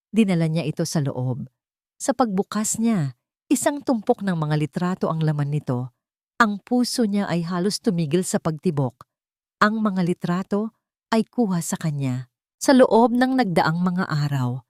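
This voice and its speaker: A woman's voice: low voice, a little mellow, no room sound, studio quality. A woman storyteller delivering scripted, dramatic narration for a romance audio drama.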